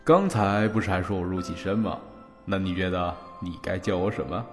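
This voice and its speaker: Guy doing a teasing husband, very low voice, audio drama, music under it, flirty. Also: normal speed, in a large hall, natural voice. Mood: doting.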